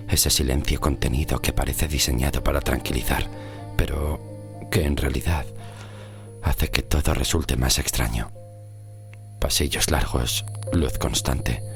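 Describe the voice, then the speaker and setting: A man narrating a scripted horror story in the first person, in a deliberate, hushed delivery with dramatic pauses. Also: rumbling low. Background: music under the voice.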